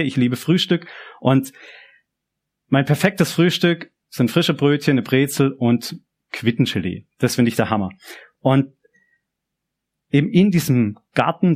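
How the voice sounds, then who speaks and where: A man's voice: mid-range voice, darkish tone. A young man with a southern German accent giving a personal, conversational youth church sermon, often repeating and restarting phrases. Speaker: preacher.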